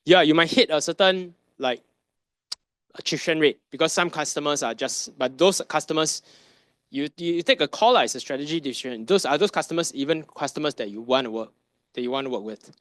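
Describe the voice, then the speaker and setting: A man's voice: fairly high pitch, fairly quick, fairly bright tone, dry recording. Man lecturing with rhetorical questions. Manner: conversational, rhetorical questions, tag "right?". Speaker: masterclass presenter, finance advisor. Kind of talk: conference lecture.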